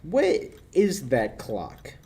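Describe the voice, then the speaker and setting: A young man acts out a slick, menacing male crook in 1940s radio style. His fairly high voice swings widely as he sneers and wisecracks his way through the lines. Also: huge pitch swings, very slow, gravelly, dark tone, full character voice. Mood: wary, threatening. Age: young adult. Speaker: high school actor. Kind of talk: 1940s radio play acting.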